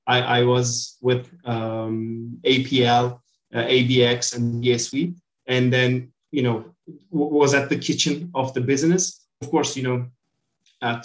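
Middle-aged male company director, Turkish accent, mid-range voice, informal interview answers on an echoey online call. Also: mid-range voice. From Turkey. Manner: informal, peppered with "you know".

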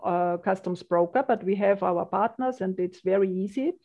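A woman's voice: medium pitch, moderate pace, dark tone. A woman in her fifties from Austria, speaking English as an interview guest, often restarting her sentences. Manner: hesitant, restarts, chatty. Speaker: logistics business guest. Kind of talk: casual business interview.

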